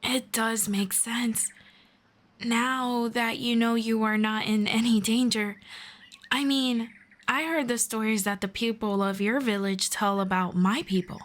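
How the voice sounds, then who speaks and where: Woman acting as a friendly forest elf, female, highish voice, unhurried, wry and teasing, ASMR fantasy roleplay. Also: fairly even intonation, natural voice. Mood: amused, sarcastic. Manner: measured, playful, gently sarcastic. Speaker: roleplay voice performer.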